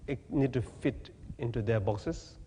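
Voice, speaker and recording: A man from Tibet, giving a Buddhist teaching in halting, plain English with gentle humor. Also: mid-range voice, in a large hall, slight background noise. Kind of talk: dharma talk to an audience. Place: talk venue with audience.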